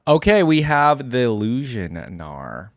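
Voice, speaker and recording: Man with very animated reaction commentary. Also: mid-range voice, slow, a little hiss or hum. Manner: easily amused, thinks out loud, exclaims with surprise. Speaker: host of a gaming reaction video. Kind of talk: casual off-the-cuff reaction commentary.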